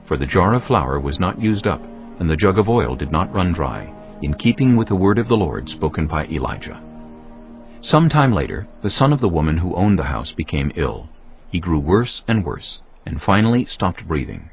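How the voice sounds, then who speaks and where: A man's voice: sits low, big pitch swings, moderate pace, dull audio, faint music behind. A man narrating scripture as a scripted drama, in a solemn storytelling manner.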